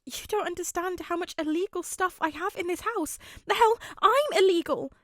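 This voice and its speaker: Woman acting a panicked teenage boy, high-pitched, hysterical, exclaiming, audiobook character. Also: moderate pace, fairly bright tone, no room sound, clean studio sound. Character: panicked teenage boy with a secret illegal life. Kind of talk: fan fiction audiobook character voice.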